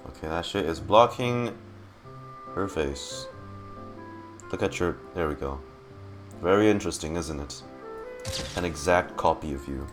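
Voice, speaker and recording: A man doing casual, expressive live game commentary, informally muttering to himself with a creaky voice. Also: sits low, measured pace, long pauses. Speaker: streamer reacting to a game. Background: game music.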